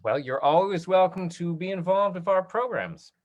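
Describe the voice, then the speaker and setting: A woman's voice: lowish voice, lively intonation, normal speed, lo-fi sound. A woman moderating an informal question session over a video call. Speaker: discussion moderator. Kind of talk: hosting an online Q&A.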